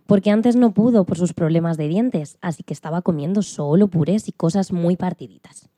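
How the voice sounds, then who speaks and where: A woman's voice: fairly low pitch, normal speed, warm tone, dry recording, studio quality. A woman co-hosting a radio show, telling things in an informal narrating style.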